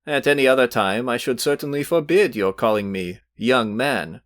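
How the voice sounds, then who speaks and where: A man's voice: mid-range voice, normal speed, fairly bright tone, dry recording, studio quality, natural voice. A man reading aloud voices a brash, insolent young man who talks hotly with a raised voice.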